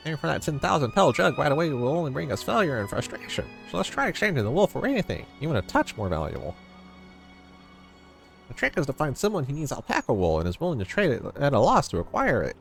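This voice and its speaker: An eager young villager from a fantasy game, acted out by a man, with a high and wildly swooping voice. The small loyal guide explains things earnestly, a gravelly edge in the sound and music underneath. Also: fairly bright tone, heavily acted. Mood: determined. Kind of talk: fantasy game dialogue read aloud.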